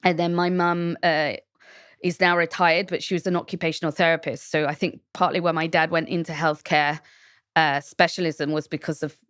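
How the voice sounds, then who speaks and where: A woman from Shropshire answering questions as a designer guest on a podcast. She talks reflectively, pausing often with fillers and restarting her sentences. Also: low voice, flat delivery, a bit bright, clear, resonant tone, no echo, studio quality. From England, Shropshire.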